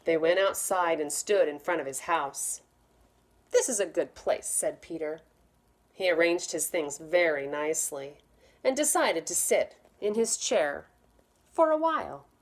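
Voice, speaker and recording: Slow-paced woman reading to young children. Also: low voice, animated. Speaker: teacher reading aloud to pupils. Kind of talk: read-aloud storytelling to young children.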